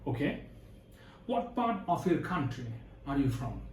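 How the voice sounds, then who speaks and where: A man acting as an IELTS examiner in a mock speaking test, explaining the format in a slow, instructive way with a lot of repetition. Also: on the high side, very animated, a little mellow, a little hiss or hum. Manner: slow, instructive, repeating phrases. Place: exam room indoors. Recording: echoey room, faint ambience.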